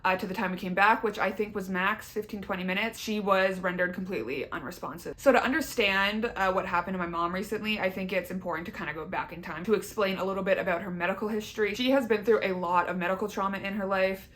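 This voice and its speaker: A woman's voice: mid-range voice, quick pace, few pauses, a bit bright. A young woman with a Canadian accent giving a casual, candid personal update to her viewers, chatty and emphatic. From Southern Ontario, Canada. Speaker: YouTuber.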